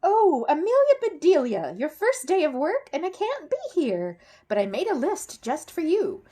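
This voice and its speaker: A woman's voice: high voice, wildly swooping pitch, normal speed, dark tone, pitched above the performer's own voice. A woman voicing a gushing lady of the house giving emphatic instructions in a storybook. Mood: gushing, apologetic.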